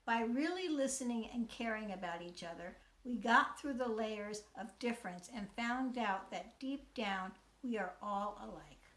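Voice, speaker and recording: A woman in her sixties from the United States, a teacher giving a scripted talk in a measured, earnest way. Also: a little high, lively intonation, fairly bright tone, roomy sound, poor audio. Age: 60s. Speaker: teacher and mentor. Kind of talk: scripted talk, read aloud.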